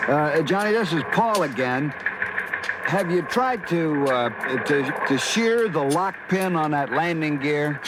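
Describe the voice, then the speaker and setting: An actor playing a cautious, practical airport engineer in 1950s radio style, deliberate and technical. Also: male voice, on the high side, animated. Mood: careful, serious. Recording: noisy old radio recording.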